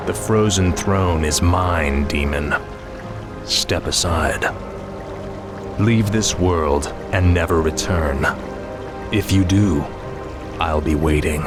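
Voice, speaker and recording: A ruthless young death knight from a fantasy game. His voice sits low, with grim, resolute theatrical delivery. Music plays clearly behind him. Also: slow pace, pauses often. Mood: cold menace. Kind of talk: fantasy video game acting.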